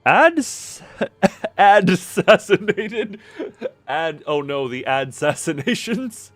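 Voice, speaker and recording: Animated man livestreaming a game. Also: high-pitched, takes it slowly, dry recording. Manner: animated, exclamatory, rambling. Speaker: game streamer. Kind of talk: casual live gameplay commentary. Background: faint music.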